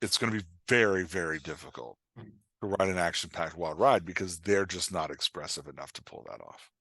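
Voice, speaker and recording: A man talking as a coach and podcast guest, explaining things in an informal, animated way with acted-out example remarks. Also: deep voice, brisk, slightly rough, dry recording. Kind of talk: casual explanatory podcast interview.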